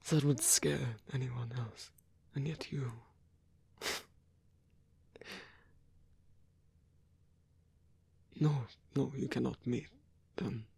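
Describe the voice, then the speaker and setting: Husky, whispering old male vampire, acted by a man. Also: medium pitch, steady tone, no room sound, exaggerated voice. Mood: confiding, gentle. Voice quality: breathy, husky half-whisper. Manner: slow, halting, softly teasing. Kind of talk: ASMR roleplay.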